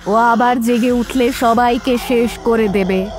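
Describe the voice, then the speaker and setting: A woman playing a stern female tantric exorcist in an audio horror story, commanding and deliberate. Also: fairly high pitch, fairly even intonation, close to an everyday voice. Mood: urgent command.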